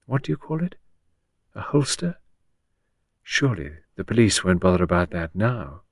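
A man's voice: low-pitched, sing-song, moderate pace, dry recording, clean studio sound, heavily acted. A man voicing a bewildered, apologetic, anxious widow in an audiobook, with a vague and plaintive way of talking. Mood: fretful.

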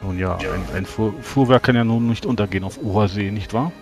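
Low-voiced man playing a tabletop game. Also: a little fast, a little mellow, faint music behind. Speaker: tabletop role-player. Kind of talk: in-character improvised dialogue.

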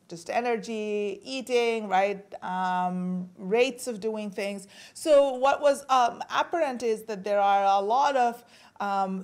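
Woman lecturing with frequent fillers. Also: medium pitch. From Bangladesh. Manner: casual, many fillers and restarts. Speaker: guest lecturer. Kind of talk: academic talk. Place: lecture hall at a podium. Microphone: podium microphone.

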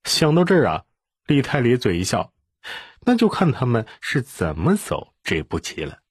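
A man's voice: mid-range voice, wildly swooping pitch, moderate pace, breathy edge, no room sound, clean studio sound. A man reading an audiobook as a scripted, dramatic story narration.

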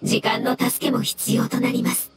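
A woman voicing a gentle, polite elemental creature in a video game, speaking in measured, formal phrases. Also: on the high side, little pitch movement, over loud music, lightly acted. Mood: calm, explanatory. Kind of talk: scripted game dialogue.

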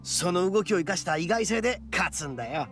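Brash, animated young oni braggart. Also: male voice, high voice, a little fast. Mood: confident, explaining. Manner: brash, boastful, rough masculine speech. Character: boisterous, cocky young male oni, hot-blooded braggart. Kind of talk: anime-style game voice acting. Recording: studio voice over game music. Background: background music.

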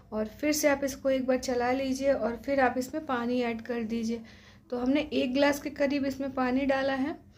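Woman, cooking channel host, fairly high voice, flat even delivery, step-by-step recipe instructions, home kitchen. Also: a little hiss or hum. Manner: calm, instructive, steady with occasional hesitations.

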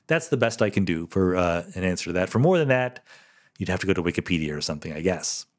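Low-voiced man with a lively pitch. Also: fast. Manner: thoughtful, self-correcting, lightly laughing. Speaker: podcast host answering listener questions. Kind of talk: casual solo Q&A explanation.

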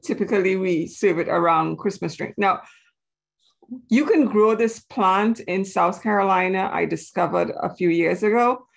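A woman's voice: mid-range voice, creaky. A woman from Trinidad and Tobago, giving a casual, chatty presentation to a group. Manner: chatty, informal asides to the audience.

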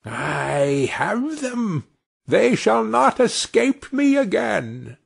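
A man's voice: big pitch swings, creaky, slightly rough, exaggerated voice. A man putting on a wicked old witch. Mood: gloating. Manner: slow, high, creeping and gloating.

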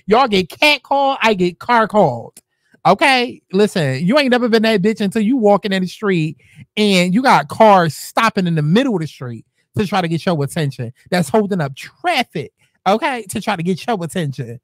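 A young woman, reacting to clips in loud, brash, joking casual commentary, swearing often. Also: mid-range voice, lively intonation, normal speed, dry recording.

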